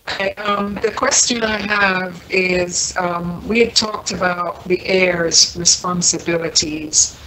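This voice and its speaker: A woman's voice: fairly low pitch, fairly even intonation, slow pace, hardly any pauses, pushed and bright, slight background noise, low-quality recording. A woman speaking carefully and a little hesitantly as a panellist in a talk show discussion over an internet call.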